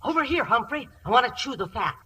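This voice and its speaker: A woman's voice: a little high, expressive, fast, over loud music, natural voice. An actress playing a brash, folksy, scheming mother, blunt and slangy, in old-time radio comedy style. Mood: conspiratorial, coaxing. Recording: old radio recording, faint noise.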